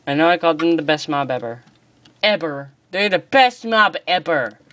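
An excitable host chats through a gameplay tutorial and pokes fun at their own stumbles. The voice sits at a middle pitch, and keyboard typing clicks in now and then. The sound is picked up by a phone pointed at a computer screen. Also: moderate pace, no echo. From United States. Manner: chatty, excitable, self-deprecating. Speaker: gaming channel host. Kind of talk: casual game commentary tutorial. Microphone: phone filming a screen.

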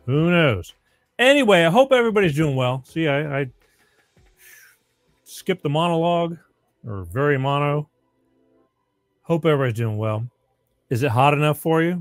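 Raspy, higher-pitched male talk show host. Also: no room sound, studio quality. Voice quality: somewhat raspy. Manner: plain-spoken, repeats phrases for emphasis. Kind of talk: informal live opinion monologue to listeners.

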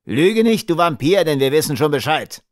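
A man voicing a rough, bearded prairie smuggler in an audiobook, blunt, taunting and threatening. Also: medium pitch, expressive, unhurried, no echo, studio quality. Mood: demanding. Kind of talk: audiobook character acting.

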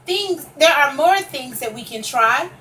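A woman's voice: high voice, expressive, a little slow, sharp tone, exaggerated voice. A woman voicing a kind, encouraging little meerkat in a children's picture book, earnest and coaxing. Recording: distant microphone, faint background noise.

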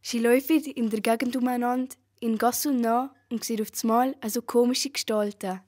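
High-voiced female Swiss German narrator. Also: steady tone, moderate pace, a little mellow. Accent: Valais Swiss German. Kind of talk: scripted storytelling in a school radio play.

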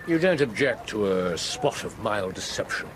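An actor with an English accent playing a precise, dry-witted, commanding gentleman detective in a radio drama. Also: fairly low pitch, expressive, moderate pace, noisy background, close to an everyday voice. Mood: intent, grave.

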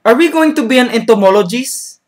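A man's voice: very high voice, expressive, fairly quick, pitched above the performer's own voice. Man doing a nagging eight-year-old boy. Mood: curious. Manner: asks endless eager questions. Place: small bedroom, indoors.

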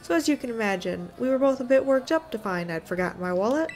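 A woman voicing an excitable, food-obsessed old man gym leader with folksy exclamations. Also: fast talker. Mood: relieved, chatty. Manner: exclaiming, folksy, booming catchphrase.

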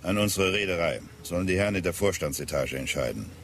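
An actor playing a terse, authoritative ship's captain giving curt, measured orders in a German radio drama. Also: male voice, sits low, expressive, a little hiss or hum. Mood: businesslike.

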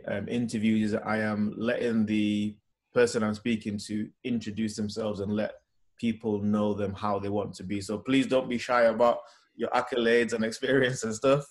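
A man in his thirties with a fairly low voice hosts a casual interview over a video call from home. His phrasing is polite and informal, with some hesitation as he sets up his questions. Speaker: interviewer, host. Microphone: computer or phone on a video call.

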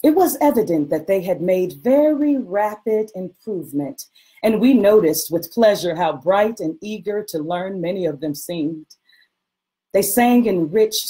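A woman giving a measured, expressive dramatic reading of a literary text over a video call. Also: mid-range voice, poor audio. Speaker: reader performing a historical diary. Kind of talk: dramatic literary reading with some sung hymn.

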